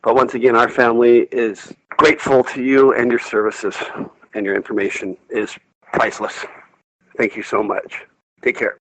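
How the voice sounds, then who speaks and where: A man with a mid-range voice leaves an unscripted personal message. He speaks earnestly and informally, in halting little phrases. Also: medium pitch, level tone, fairly bright tone, low-quality recording. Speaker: listener leaving a thank-you message.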